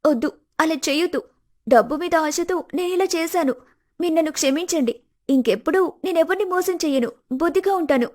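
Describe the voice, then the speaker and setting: A woman performs a scheming young wife in an animated moral story. Her voice is high, and she speaks with melodramatic, pleading false innocence. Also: a little fast, lightly acted. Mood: desperate pleading.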